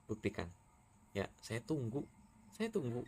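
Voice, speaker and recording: A man's voice: mid-range voice, very animated, unhurried, a little mellow, a little hiss or hum. A man from West Sumatra firmly scolding and challenging a critic in a heated monologue. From West Sumatra, Indonesia. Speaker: TikTok creator answering a critic.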